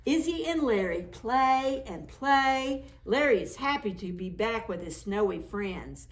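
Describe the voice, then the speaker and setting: A woman doing an expressive, playful picture-book read-aloud for preschool children. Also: on the high side, a little slow. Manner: playful, acts out sound words. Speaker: children's storytime reader.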